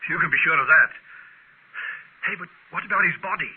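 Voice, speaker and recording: A man acting as a blunt, practical farmer in an old radio drama, brisk and questioning. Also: on the high side, slightly rough. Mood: urgent, persuasive. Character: Dirk, blunt practical farmer, startled but scheming. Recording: tinny, noisy vintage radio recording.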